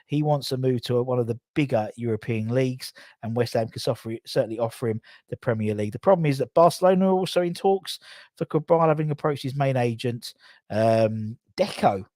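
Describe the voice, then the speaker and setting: A man's voice: medium pitch, brisk, mellow, no echo, clean studio sound. A young man hosting a fan channel, chatting casually and rambling with asides. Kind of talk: casual live-stream chat.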